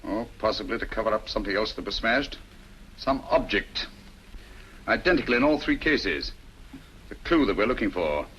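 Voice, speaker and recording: Bright-voiced, incisive British detective. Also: male voice, on the low side, animated, moderate pace, pauses often, a little hiss or hum, low-quality recording. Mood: assured, reasoning. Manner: crisp, emphatic, deductive. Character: brilliant, incisive male detective, commanding and dryly witty. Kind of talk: old radio and film drama acting.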